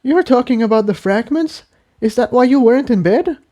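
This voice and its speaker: Man putting on a high, timid young pegasus mare. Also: lively intonation, normal speed, mellow. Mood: cautious. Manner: cautious, pleading, anxious. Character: timid, earnest young female pony with wings.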